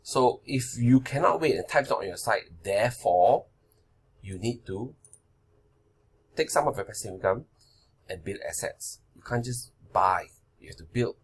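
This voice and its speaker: Man in his forties, husky voice, talks through slides in a loose, repetitive way, keeps asking "right?". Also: lowish voice, animated, unhurried, pauses often. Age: 40s. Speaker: finance presenter. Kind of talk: casual slide-based money lesson.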